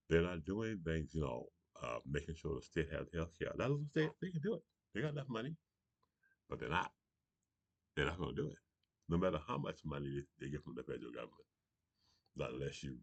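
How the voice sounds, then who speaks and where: A man's voice: rumbling low, vocal fry, husky, dry recording. A man from Charleston, South Carolina, hosting a morning show with a rambling political monologue, often saying "you know" and laughing between points.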